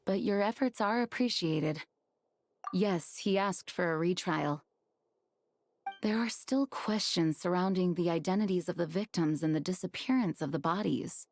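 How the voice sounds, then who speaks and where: A woman playing a composed, dutiful woman in authority, grave and courteous, speaking in a measured, formal way in a video game. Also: on the low side, darkish tone, a little hiss or hum.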